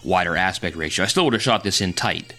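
Low-voiced joking male podcast host. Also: fast talker, bright, forceful tone. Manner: joking, teasing, many fillers and restarts. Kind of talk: casual chat.

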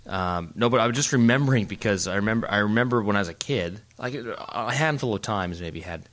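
A middle-aged man co-hosts a casual podcast from home. His voice sits a little low, and he jokes around and rambles, restarting his sentences often. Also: brisk, fairly bright tone. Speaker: podcast co-host. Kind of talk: casual chat. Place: home, Germany.

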